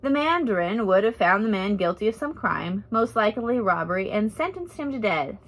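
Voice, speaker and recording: A woman's voice: medium pitch, lively intonation, moderate pace, fairly bright tone, poor audio. Woman reading as a stern old missionary woman. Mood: calm, stern. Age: 70s. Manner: matter-of-fact, lecturing. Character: gruff, calm, stern missionary woman of seventy-four. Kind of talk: storybook character reading.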